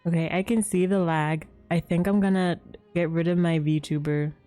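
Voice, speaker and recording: A woman's voice: lowish voice, steady tone, normal speed, warm tone, soft background music. A woman livestreaming a game, chatting casually and in a giggly way with her viewers. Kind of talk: casual livestream chat while gaming. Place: livestream with game music behind.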